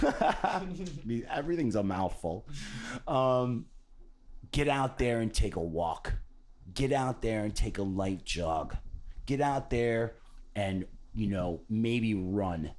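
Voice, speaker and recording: A man's voice: on the low side, a little mellow. A man hosting a podcast, talking earnestly and casually about self-love, repeating key phrases and swearing now and then. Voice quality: called a voice for radio by others. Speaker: podcast host. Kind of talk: motivational podcast talk.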